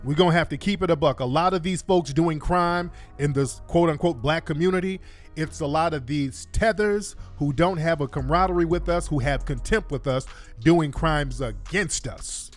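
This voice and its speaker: A man's voice: fairly high pitch, normal speed, soft background music. A man hosting a talk show, giving opinionated live commentary in an emphatic, colloquial way and repeating points for stress.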